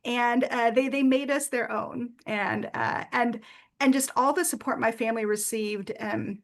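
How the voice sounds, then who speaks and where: Brief: woman presenter, higher-pitched, earnest, many "uh"s. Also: fairly even intonation, measured pace. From United States. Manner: earnest, warm storytelling with frequent "uh" fillers. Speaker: workshop presenter. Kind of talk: presentation with personal story.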